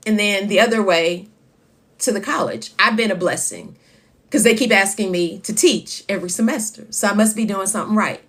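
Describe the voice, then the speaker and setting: A woman, hosting a casual live chat with a loud voice that carries and reading viewer comments aloud. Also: medium pitch, brisk. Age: 50s. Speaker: livestream host.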